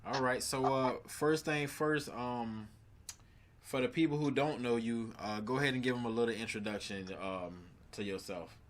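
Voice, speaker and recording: A man's voice: mid-range voice, moderate pace, a little hiss or hum. A man interviewing a musician, asking loose questions padded with fillers. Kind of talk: casual interview.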